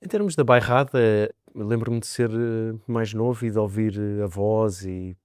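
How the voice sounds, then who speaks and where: A man with a European Portuguese accent hosts a podcast interview, his questions loose and filled with pauses. His voice sits a little low and sounds smooth and clear. It is recorded dry and close. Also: no room sound. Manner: relaxed, hesitant, with many fillers and restarts. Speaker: podcast host.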